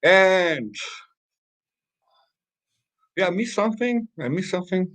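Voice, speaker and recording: A man's voice: high-pitched, lively intonation, takes it slowly, fairly bright tone. A man co-hosting a casual live stream, chatting loosely and reading viewers' comments aloud with plenty of fillers.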